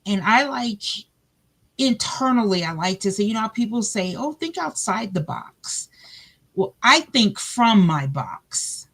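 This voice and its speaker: A woman with a big, loud voice, a guest talking about her faith in a lively way and acting out her own conversations. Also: medium pitch, unhurried, husky. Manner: animated, plays out her own dialogue. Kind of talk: faith testimony chat.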